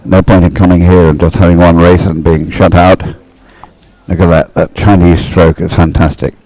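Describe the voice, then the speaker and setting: A man's voice: low voice, flat delivery, moderate pace, mellow, dry recording, rough recording. A man giving live race commentary, explaining things in a hesitant, unscripted way. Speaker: sports commentator.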